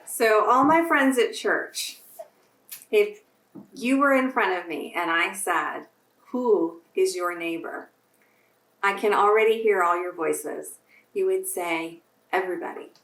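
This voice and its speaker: A woman reading a children's story aloud expressively, with deliberate phrasing meant for young listeners. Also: lowish voice, fairly bright tone. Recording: roomy echo, distant microphone.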